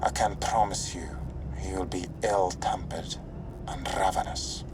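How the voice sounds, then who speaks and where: An actor playing a dry, sardonic veteran operative giving a mission briefing in a video game, wry and understated. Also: male voice, deep voice, fairly even intonation, measured pace, background noise. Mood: dry, foreboding. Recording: music playing behind the voice.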